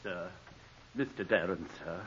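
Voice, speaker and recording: A man's voice: low voice, unhurried, a bit bright. An actor playing a deferential, anxious manservant who pleads with his master in an obsequious way, in a 1940s radio drama. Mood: urgent, pleading. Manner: obsequious, pleading, constantly saying sir. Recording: muffled, hissy old radio broadcast.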